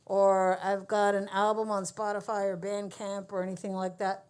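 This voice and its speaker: A woman's voice: mid-range voice, level tone, moderate pace, a little mellow, clear, resonant tone. A woman hosting a coffee shop open mic, chatting loosely and joking with the room. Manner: joking, loose, laughs easily. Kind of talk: casual emcee banter.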